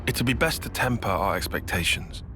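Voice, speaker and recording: A man's voice: fairly low pitch, expressive, normal speed, lightly acted. An actor playing an analytical young male soldier in a video game, speaking in a formal, precise way. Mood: grave, reasoning. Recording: loud music behind.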